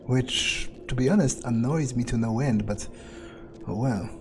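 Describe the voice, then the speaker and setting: A man playing a weary, foul-mouthed vampire who thinks aloud in a halting, musing monologue, as in a game playthrough. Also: medium pitch, natural voice. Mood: grumbling, musing. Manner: halting, musing, casually profane. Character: weary, foul-mouthed male vampire muttering his thoughts. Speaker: gaming video creator playing in character.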